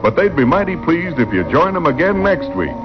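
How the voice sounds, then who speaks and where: A man's voice: fairly high pitch, very animated, brisk. A man announcing an old-time radio western in scripted sign-off narration. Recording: dull vintage radio recording. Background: loud music.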